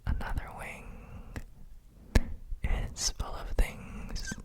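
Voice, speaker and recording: Female ASMR guide: all whisper, extremely slow and unhurried, breathy and soothing, for sleep relaxation. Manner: gentle, soothing, unhurried with frequent pauses. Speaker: ASMR host guiding relaxation.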